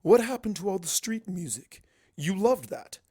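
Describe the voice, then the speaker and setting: Man voicing a blunt, high-pitched pony scholar. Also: very animated, normal speed, mellow, slightly rough, no echo, studio quality, exaggerated voice. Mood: insistent, challenging. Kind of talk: fan fiction character voice.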